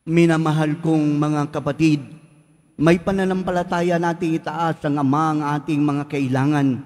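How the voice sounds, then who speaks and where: Male priest leading mass, slow solemn prayers, long church echo. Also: fairly high pitch, fairly even intonation, a little mellow. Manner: slow solemn liturgical recitation. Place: large church. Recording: echoey church hall.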